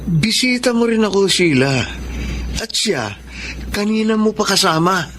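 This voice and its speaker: A man's voice: high voice, expressive, a little slow, fairly bright tone. A man playing a pushy, jealous rival suitor in a radio drama, sardonic and insistent. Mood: sulky, pushy. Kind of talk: Filipino radio drama acting. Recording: noisy ambience behind the voice.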